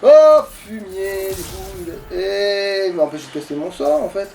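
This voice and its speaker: High-voiced excitable man, distant mic. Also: normal speed, vocal fry. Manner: excitable, grumbling, swears often. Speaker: let's play player. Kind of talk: casual gaming commentary. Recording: distant mic, background noise.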